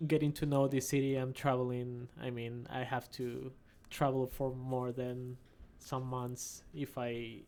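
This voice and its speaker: A man's voice: medium pitch, level tone, a little slow, few pauses, a little mellow. A man from Peru with a Peruvian Spanish accent, chatting casually as an interview guest and searching for his words in English. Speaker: podcast guest, travelling artist.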